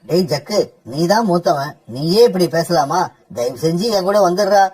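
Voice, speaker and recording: An actor voicing a gentle, mild-mannered father bird in a cartoon, pleading with his family. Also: mid-range voice, normal speed, breathy edge, natural voice. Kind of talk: cartoon dub acting.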